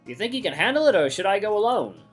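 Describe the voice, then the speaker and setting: Visual novel animal bloke, played by a man: attempted Australian, higher-pitched, easygoing, looks out for his mates. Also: big pitch swings, racing, husky, faint music behind, full character voice. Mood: easygoing, blokey.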